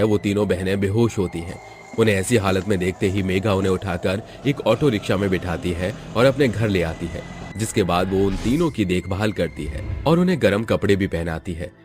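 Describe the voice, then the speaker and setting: A man's voice: low voice, animated, moderate pace, a little mellow, faint music behind. A man reading a scripted moral story as its narrator.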